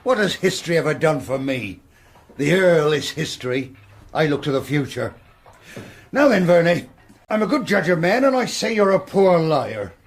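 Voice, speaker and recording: An actor playing a blunt, domineering middle-aged quarry owner in a period radio drama, sardonic and suspicious, with an English accent. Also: male voice, on the high side, sing-song, normal speed. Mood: combative, contemptuous. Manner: brusque, sardonic, forceful.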